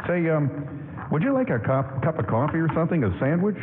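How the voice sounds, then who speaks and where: A man playing a shy, anxious soldier with a halting, apologetic manner in a 1940s-style radio drama. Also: sing-song, noisy background. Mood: awkward, hesitant. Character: shy, anxious wounded soldier on furlough, gentle and awkward. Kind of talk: 1940s-style radio drama acting before a live audience. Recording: boomy hall, narrow AM radio sound, music.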